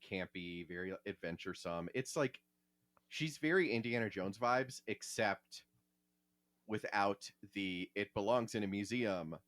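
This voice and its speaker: A man's voice: on the low side, fairly bright tone. A man co-hosting a podcast, chatting casually in a wry way with frequent swearing. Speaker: podcast co-host.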